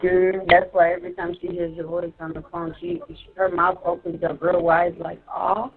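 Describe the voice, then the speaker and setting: A woman's voice: fairly low pitch, level tone, normal speed, vocal fry. A woman chatting casually on the phone, giving short, supportive replies. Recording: muffled phone line, faint music.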